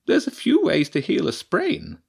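A man's voice: a little high, huge pitch swings, normal speed, gravelly, breathy edge, pitched above the performer's own voice, heavily acted. A man voicing an impatient, sardonic female badger scientist in a storybook reading, dry and mocking. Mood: curious, sly. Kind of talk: audiobook character voice.

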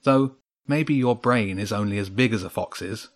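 A man's voice: lowish voice, unhurried. A man voicing a thoughtful, cheeky young boy in a children's audiobook. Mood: teasing.

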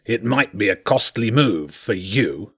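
A man voicing a gruff, commanding badger king with a husky voice and a terse, imperious manner in an audiobook. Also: lowish voice, lively intonation, measured pace, a bit bright, full character voice. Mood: menacing. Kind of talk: audiobook character voice.

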